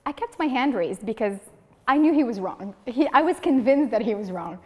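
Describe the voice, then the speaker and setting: A woman's voice: fairly high pitch, moderate pace, slightly rough, lo-fi sound. A woman, giving a humorous prepared speech at a speaking club and acting out the people in her stories. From Morocco. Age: 30s. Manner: lively storytelling, acting out quoted people. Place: meeting room of a speaking club. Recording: long reverb of a hall.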